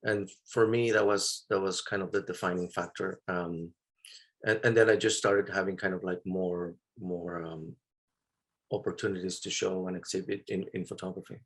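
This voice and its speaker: A man's voice: deep voice, steady tone, moderate pace. A man in his thirties from Mexico, a photographer answering interview questions in a thoughtful, hesitant way with many fillers and restarts. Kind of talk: interview conversation.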